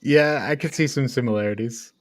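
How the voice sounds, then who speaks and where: A man's voice: mid-range voice, brisk, no echo, studio quality. A man hosting a casual movie review chat. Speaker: podcast host.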